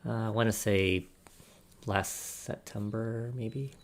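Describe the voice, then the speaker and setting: Man, low pitch, creaky, casual podcast chat, conversational storytelling. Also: steady tone, slow pace, noisy background. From United States. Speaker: podcast roundtable panelist. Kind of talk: casual personal storytelling in a discussion.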